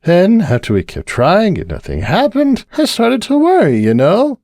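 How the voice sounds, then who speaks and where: Guy putting on a drunk sad pony mare, way up high, wobbly slurry voice, dry close mic. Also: wildly swooping pitch, normal speed, darkish tone, no room sound, heavily acted. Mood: drunk, anxious. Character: tipsy, anxious young mare pony.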